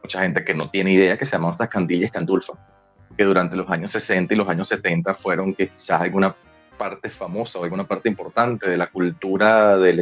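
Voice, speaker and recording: A man's voice: low-pitched, dull audio. A Venezuelan man in his forties, talkative and colloquial, telling stories casually as an interview guest. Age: 40s. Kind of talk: casual conversational storytelling.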